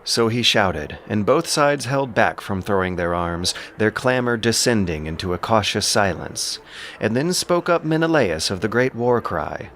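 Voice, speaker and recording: A man's voice: lowish voice, expressive, a little slow, fairly bright tone. A man narrating an epic audiobook in a solemn, literary storytelling manner. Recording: music behind the voice.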